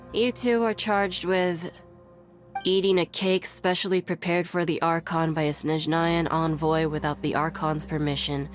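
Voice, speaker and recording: A woman's voice: on the low side, close to an everyday voice. A woman voicing a weary, sardonic female prison clerk in a video game, dry and grumbling.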